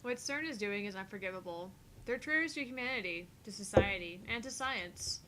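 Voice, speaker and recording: A cool, intelligent young scientist voiced by a woman with a medium-pitched voice. She sounds precise and a little prickly, in visual novel style, over a faint low rumble. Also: animated, brisk, fairly bright tone, lightly acted, poor audio. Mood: firm. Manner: precise, matter-of-fact explaining.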